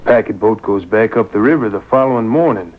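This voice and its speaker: A man's voice: a little fast, lightly acted. Actor as a confident, scheming male outlaw. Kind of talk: old-time radio drama acting. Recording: muffled, noisy radio broadcast taped on boombox.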